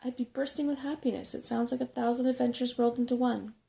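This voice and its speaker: A woman plays an eager young boy in a bedtime story. He sounds earnest and reassuring, with a mellow, slightly creaky softness. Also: a little high, fairly even intonation, quick pace, pitched above the performer's own voice. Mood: excited. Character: eager, level-headed human boy. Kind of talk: storybook character voice in a soft-spoken reading.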